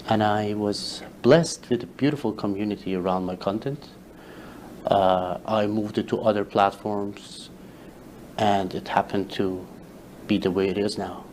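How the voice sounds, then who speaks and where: A man whose first language is Farsi, a guest telling his story in a casual interview, halting with many fillers and restarts. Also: lowish voice, steady tone, a little mellow, a little hiss or hum, low-quality recording. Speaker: interview guest, social media creator.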